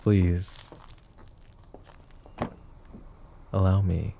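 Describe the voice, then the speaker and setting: A man playing a suave, possessive mafia boss in an intimate roleplay, speaking with mock courtesy and a teasing drawl in a low, gravelly voice. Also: flat delivery, slow pace, dark tone, a little hiss or hum, heavily acted. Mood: flirty, smug. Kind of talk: roleplay ASMR acting, intimate address to listener.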